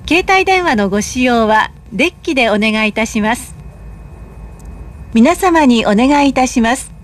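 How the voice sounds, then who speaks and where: A woman reading scripted train announcements in a polite, measured, carefully enunciated way. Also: fairly high pitch, lively intonation. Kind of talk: recorded public announcement, scripted.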